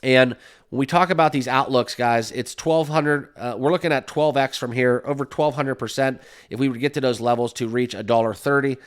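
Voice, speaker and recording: Male host with a slight cold, mid-pitched and smooth, upbeat and chatty, full of "right?" and "uh". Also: fairly even intonation, fairly quick. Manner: upbeat, full of fillers, keeps asking "right?". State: has a cold, sniffling. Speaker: crypto YouTube host. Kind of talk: casual talk to camera.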